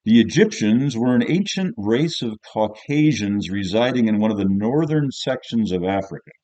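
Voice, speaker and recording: A man voicing a sarcastic old male history teacher in an audiobook, scolding and insistent, with slow, drawn-out emphasis. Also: fairly low pitch, expressive, warm tone, muffled recording, pitched above the performer's own voice, lightly acted. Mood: sarcastic, mocking. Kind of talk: audiobook character voice.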